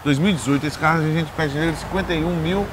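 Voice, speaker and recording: Lojista mineiro de voz tranquila. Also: male voice, a little high, quick pace, background noise. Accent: Brazilian Portuguese, Minas Gerais. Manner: relaxed, plain listing of prices. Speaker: car dealer. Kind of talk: sales pitch. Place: outdoors at car lot.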